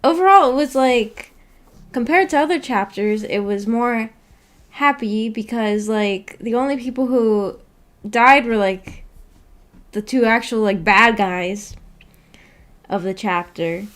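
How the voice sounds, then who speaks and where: High-voiced woman chatting on livestream. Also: slow, pauses often. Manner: informal, hesitant, giggly. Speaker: livestream host. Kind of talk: casual livestream chat with viewers.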